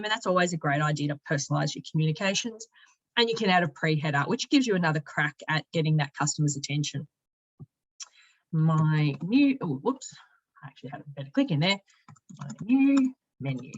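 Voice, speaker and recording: A woman presenting a casual, chatty software tutorial in an upbeat, informal manner, walking through it step by step. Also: on the low side, muffled recording. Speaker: presenter giving a software demonstration.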